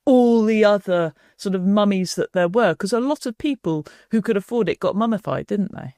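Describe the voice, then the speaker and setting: Woman host, mid pitch, dry close sound, jokey and chatty. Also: normal speed, warm tone, no room sound. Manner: playful, jokey. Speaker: podcast host. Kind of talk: casual podcast chat.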